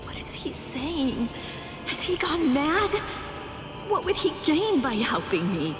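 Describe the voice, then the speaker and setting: A woman voicing an earnest, distraught young captive warrior in an anime game dub, halting and intense. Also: high voice, very animated, heavily acted. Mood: troubled, reflective. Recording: echoey hall reverb, music behind.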